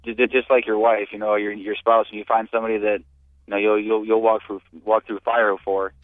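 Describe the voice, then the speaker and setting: An American man calling in to a radio show and reminiscing casually, halting often and repeating words as he talks. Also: lowish voice, little pitch movement, no room sound. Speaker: radio call-in listener. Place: on a phone call to a radio studio. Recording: muffled phone-like call-in line.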